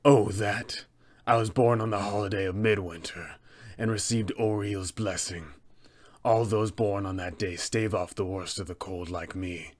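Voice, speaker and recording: A man voicing a cold, calm, menacing undead killer who poses as a trader, in a low, breathy voice with measured delivery, for a tabletop roleplaying villain. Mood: coolly polite. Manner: slow, hushed, measured threats.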